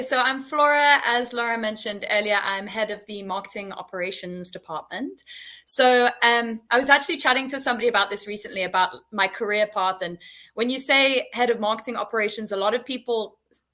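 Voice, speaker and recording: British woman, young, bright and clear tone, friendly chatty live-stream guest. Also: a little high. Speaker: head of marketing operations. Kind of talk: casual live-stream chat.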